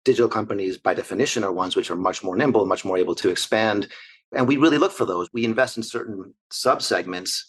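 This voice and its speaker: A man in his forties from Argentina, answering interview questions as an investor in long, measured, structured lists. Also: fairly low pitch, slightly rough. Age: 40s. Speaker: investor guest.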